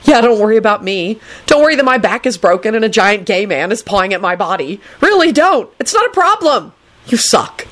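A woman plays a panicky young man in an audiobook story. The voice rides high and swings widely in pitch. He whines and splutters with melodramatic sarcasm. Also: lively intonation, fairly quick. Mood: bitter sarcasm.

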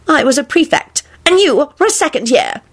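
A woman's voice: mid-range voice, huge pitch swings, moderate pace, breathy edge. A woman voicing a haughty, officious young man with stiff, pompous, disapproving phrasing. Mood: rude.

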